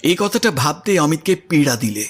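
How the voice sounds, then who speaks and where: Animated, husky male story narrator. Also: fairly high pitch, moderate pace. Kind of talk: scripted literary story narration. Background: music.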